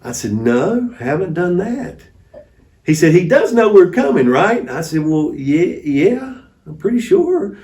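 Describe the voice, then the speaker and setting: A man's voice: high-pitched, very animated, measured pace, mellow. A man telling mission stories conversationally as an interview guest, in a folksy, anecdotal manner. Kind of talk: conversational storytelling. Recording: echoey room, distant mic.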